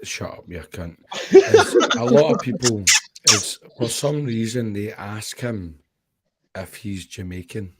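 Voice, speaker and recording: Low, sarcastic man. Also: sing-song, slow, a crowd in the background. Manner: sarcastic, mocking. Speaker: stream panelist. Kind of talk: sarcastic commentary on a live stream.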